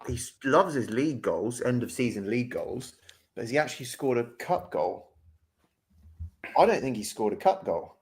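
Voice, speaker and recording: A man's voice: medium pitch, moderate pace. A man playing along playfully in a casual football podcast quiz. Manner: playful, animated. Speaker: podcast panelist, quiz player.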